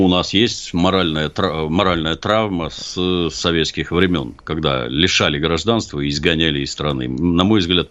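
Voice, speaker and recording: Casting: very low-voiced male talk-show guest, argumentative, ironic, dull lo-fi sound. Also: fairly bright tone. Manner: ironic, argumentative, acts out quotes. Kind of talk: political talk show commentary.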